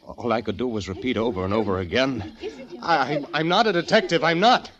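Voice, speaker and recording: An actor playing a nervous poet, telling his tale with halting intensity in a 1940s radio drama style. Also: mid-range voice, muffled recording, a crowd in the background, close to an everyday voice. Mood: terrified. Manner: hushed, deliberate, halting, intense. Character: nervous poet fleeing the world, haunted narrator. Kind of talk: 1940s radio acting, dramatic first-person narration. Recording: vintage radio drama with music behind. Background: music.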